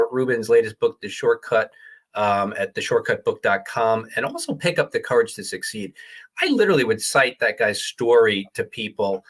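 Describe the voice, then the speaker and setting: Husky-voiced enthusiastic male co-host. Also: medium pitch, a bit bright. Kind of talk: casual chat.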